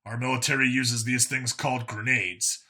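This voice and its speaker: A blunt human male detective in a sci-fi audiobook, played by a man. He sounds irritable and defensive, with a very bright, forceful tone and a husky grain at a middling pitch. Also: level tone, normal speed. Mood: explaining. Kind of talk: audiobook character acting.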